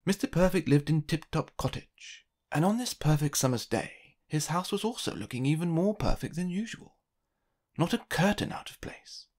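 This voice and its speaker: Expressive, lively children's storybook narrator. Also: mid-range voice, normal speed.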